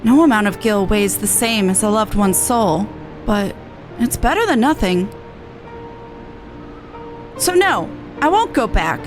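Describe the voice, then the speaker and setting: A woman's voice: medium pitch, expressive, unhurried, pauses often, faint music behind, pitched below the performer's own voice, lightly acted, poor audio. A woman voicing an earnest, dutiful young tribeswoman and resistance veteran in video game dialogue. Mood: earnest, resolute.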